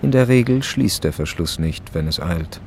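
Radio drama narrator: male, lowish, gravelly, understated and dryly detached. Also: expressive, moderate pace, a little mellow, slight background noise. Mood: wry. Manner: dry, understated, matter-of-fact. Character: dry, detached storyteller of a woman's life.

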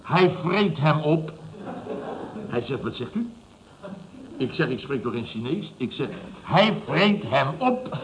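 A boastful, boisterous boss in a radio comedy, blustering and repeating himself. His voice sits fairly high and swings widely in pitch. It is a dull old recording with an audience present. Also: big pitch swings, slow pace, pauses often, slight background noise. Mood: gleeful boasting. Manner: blustering, repetitive storyteller acting out quotes. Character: boastful, boisterous male boss of a building firm.

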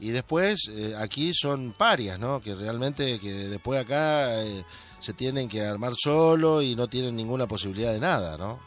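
A man hosting a casual radio interview, putting in frequent quick agreements and questions. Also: mid-range voice, lively intonation, fairly bright tone, faint music behind. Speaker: radio host.

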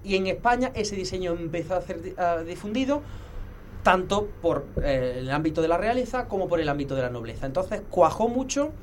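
A man talks very quickly in a slightly high voice, like an informal expert guest. He explains didactically, corrects himself often and tags his points with little check-in questions. There is some ambient noise behind him. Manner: didactic, animated asides, self-correcting, checks with "¿no?". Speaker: guest expert on heraldry history.

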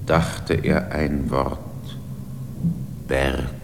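An ancient, brooding slate mountain, deep and gravelly. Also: male voice, flat delivery, background noise, heavily acted. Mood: solemn, brooding. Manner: slow, solemn, half-whispered. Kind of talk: poetic radio play monologue. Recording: long reverb under clear music.

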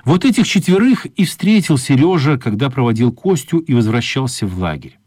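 A man narrating a children's radio play as a wry storyteller with a husky voice. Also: mid-range voice, big pitch swings, moderate pace, darkish tone, no room sound. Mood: storytelling.

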